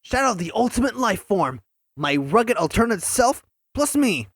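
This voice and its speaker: A man playing an eager, cocky, youthful cartoon hedgehog hero in a fan audio drama, chatty and excitable. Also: sits high, a little slow, breathy edge, no echo, studio quality, full character voice. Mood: excited.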